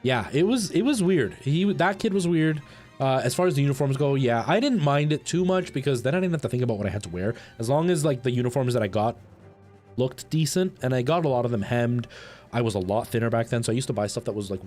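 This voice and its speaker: A man's voice: mid-range voice, darkish tone. A man chatting casually to viewers on a game stream, telling rambling anecdotes. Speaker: live streamer.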